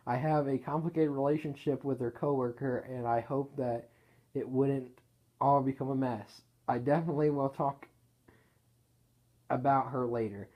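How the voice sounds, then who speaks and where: A man reading his own written story aloud in a casual way, halting and correcting himself as he goes. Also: mid-range voice, steady tone, mellow.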